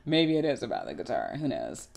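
A woman in her thirties giving a casual, conversational tutorial with colloquial, animated delivery into a close desktop mic. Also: a bass voice, brisk, creaky. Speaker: radio personality giving a production tutorial. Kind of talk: casual instructional talk. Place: small room at home, indoors. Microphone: desktop USB condenser mic, close.

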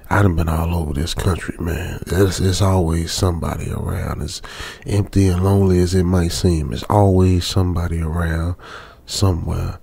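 A man's voice: low-pitched, steady tone, moderate pace, creaky, mellow, husky, heavily acted. An American man playing a stranded young trucker in a radio drama, uneasily muttering to himself in colloquial, repetitive phrases. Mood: resigned. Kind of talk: old-school radio drama monologue, improvised feel.

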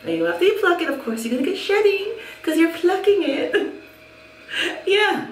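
A woman's voice: extremely high pitch, normal speed, recorded from a distance, a little hiss or hum. A middle-aged Jamaican woman reviewing a wig in a casual, chatty way, laughing often and repeating herself. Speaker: hair vlogger. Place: small room at home, indoors. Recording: echoey room, a little off mic.

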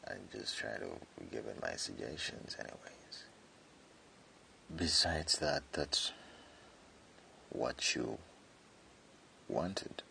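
A man voicing a jealous tsundere friend confessing hidden love, speaking haltingly in an intimate boyfriend ASMR roleplay. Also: very animated, bright, forceful tone, a little hiss or hum, full character voice. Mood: hesitant, sulky. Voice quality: very deep, gravelly. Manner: hesitant, halting, with stammered repeats.

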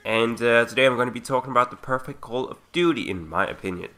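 Sick young man, bright voice. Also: mid-range voice, quick pace. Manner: rambling, self-correcting, chatty. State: sick with sore throat. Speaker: gaming commentator. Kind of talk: casual gameplay commentary.

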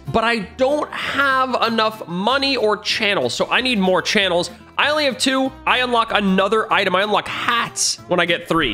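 A young man in his twenties on a headset mic, giving excitable, jokey commentary while playing a game at home. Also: sits high. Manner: excitable, jokey, fast reactions. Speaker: gaming YouTuber. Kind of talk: casual gameplay commentary. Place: home gaming room, indoors.

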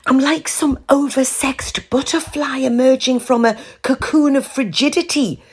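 A woman voicing a flustered, excitable middle-aged divorced mum, confiding and urgent in an audio story. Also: high-pitched, unhurried, breathy edge, pitched above the performer's own voice, heavily acted. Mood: excited, dramatic.

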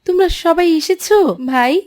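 A woman's voice: extremely high pitch, unhurried, a little mellow. A woman voicing a polite, keen young schoolboy in a children's moral cartoon. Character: polite, keen young schoolboy who saves his pocket money.

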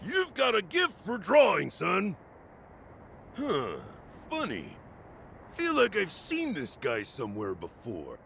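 A man's voice: high voice, huge pitch swings, slow, creaky, a bit bright, a little hiss or hum, full character voice. A man playing a blustering old muscular bandit father in a video game, bombastic and prone to stammering. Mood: weary. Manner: bombastic, stammering when alarmed. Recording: game music under the voice.

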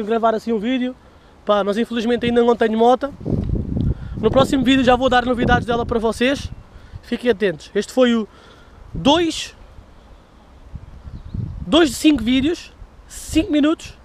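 A man's voice: extremely high pitch, noisy background. A man in his thirties with a European Portuguese accent, vlogging outdoors on a dirt path, talking loosely to a handheld camera while walking and drinking a beer, swearing freely. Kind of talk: casual vlog to camera. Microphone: handheld camera at arm's length.

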